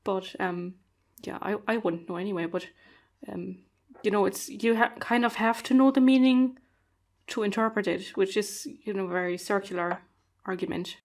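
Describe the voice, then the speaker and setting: A woman's voice: mid-range voice, normal speed, darkish tone. A woman, not a native speaker of English, chatting on a video call in a thoughtful, hesitant way with many fillers. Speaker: podcast host. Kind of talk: casual conversation.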